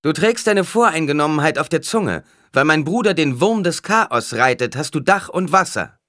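A man voicing a stern, cryptic prophetess in an audiobook, intoning solemnly with a sharp edge. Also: mid-range voice, lively intonation, measured pace, dry recording, studio quality, pitched above the performer's own voice. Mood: sharp, scornful.

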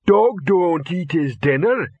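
A man voicing a big, slow-witted, literal-minded troll who talks bluntly in halting broken grammar, in a storybook reading. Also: a little high, lively intonation, breathy edge, narrow, tinny audio, pitched above the performer's own voice, exaggerated voice. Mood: blunt, puzzled.

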